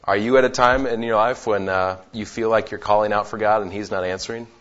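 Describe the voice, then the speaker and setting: A man's voice: on the low side, steady tone, fairly quick, fairly bright tone. A man leading a group Bible study in a casual way, often repeating words as he gathers his thoughts. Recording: dull lo-fi sound.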